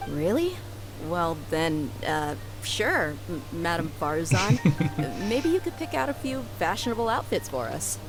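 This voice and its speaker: A woman's voice: fairly low pitch, sing-song, moderate pace, over music, poor audio. A woman playing a frank, easygoing young woman with a casual, politely hesitant manner in an anime game. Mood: friendly.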